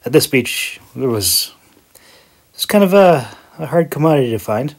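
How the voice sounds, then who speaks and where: A man's voice: mid-range voice, lively intonation, normal speed, breathy edge. A man giving a casual, rambling vlog voice-over in a hesitant, self-deprecating, chuckling way. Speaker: video creator and hobby audiobook narrator.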